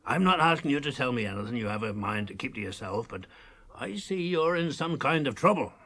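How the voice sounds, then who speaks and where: An actor playing a blustery, hearty old farmer, in a 1980s radio drama. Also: male voice, mid-range voice, expressive, fairly quick, pushed and bright. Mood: kindly, hospitable. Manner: rustic, blustery, chuckling storyteller's delivery. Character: gruff, hearty, protective old country farmer.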